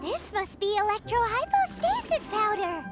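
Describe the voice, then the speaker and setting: An actress voicing a tiny, excitable, childlike female fairy companion in a video game, chirpy, cutesy and cheeky. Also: extremely high pitch, normal speed, music in the background. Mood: eager, triumphant. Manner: chirpy, cutesy, refers to self by name. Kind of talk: scripted video game character dialogue.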